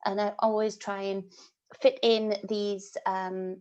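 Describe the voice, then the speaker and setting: A woman's voice: medium pitch, level tone, slow pace, darkish tone. A woman tarot reader with a stuffy, sniffly nose, talking in a rambling way full of ums and restarts as she gives a casual spiritual reading. She has a British accent. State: congested, sniffly. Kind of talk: casual spiritual vlog monologue.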